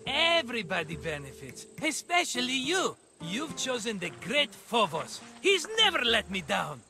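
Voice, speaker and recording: A man's voice: very high voice, huge pitch swings, moderate pace, vocal fry, music in the background, full character voice. An actor playing a cheerful, scheming merchant in a video game, with theatrical, ingratiating salesman patter. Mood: flustered, coaxing. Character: cheerful scheming male merchant, wheedling and excitable. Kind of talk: video game character acting.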